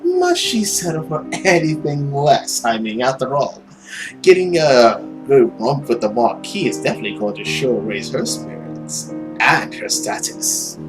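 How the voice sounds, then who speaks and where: A man voicing a smug, scornful nobleman villain in a visual novel, drawling and sarcastic with theatrical pauses. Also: mid-range voice, sing-song, over music, voice pushed down, rough recording. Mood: mocking, condescending. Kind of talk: visual novel character acting.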